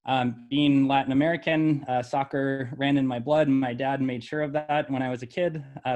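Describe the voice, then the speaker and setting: A middle-aged man who was born in El Salvador and raised in Ohio, presenting his university lab's research from slides in a measured way, with frequent ums and uhs. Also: mid-range voice, a little mellow.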